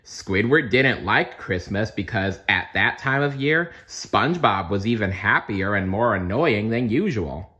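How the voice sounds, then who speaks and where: A man narrates a children's storybook aloud, taking his time. His delivery is expressive and widely varied in pitch, with a bright, forceful tone. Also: unhurried, few pauses. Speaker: storybook reader.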